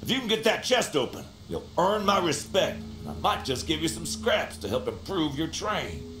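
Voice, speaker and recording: A man plays a blunt, cocky junkyard worker from a video game. His voice is fairly high and very animated, folksy and teasing. The sound is roomy, with music faintly behind. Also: moderate pace. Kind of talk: video game character acting.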